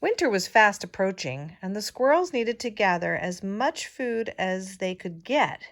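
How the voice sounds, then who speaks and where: A woman reads a storybook aloud for young children. Her pace is slow and deliberate, with an expressive storytelling manner and a mid-range pitch. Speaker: teacher reading aloud.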